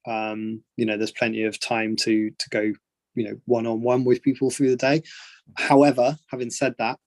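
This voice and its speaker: A man's voice: mid-range voice, no room sound, rough recording. Guy from england coaching on a video call, lots of ums, dry sound. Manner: friendly, many restarts and fillers. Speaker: photography coach.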